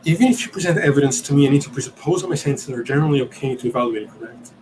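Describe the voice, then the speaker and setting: A man's voice: medium pitch, rapid-fire, background noise. Hesitant Italian-born man thinking aloud. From Italy. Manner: hesitant, searching for words, many ums and restarts. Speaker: podcast host answering questions.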